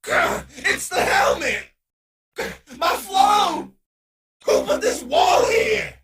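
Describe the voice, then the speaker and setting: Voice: very high voice, very animated, slow pace, roomy sound, raised voice, far from the mic, background noise, exaggerated voice. A woman voicing a cocky, hyperactive showman villain in a video game, brash and theatrical, taunting opponents. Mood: exasperated. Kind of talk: exaggerated video game character voicelines.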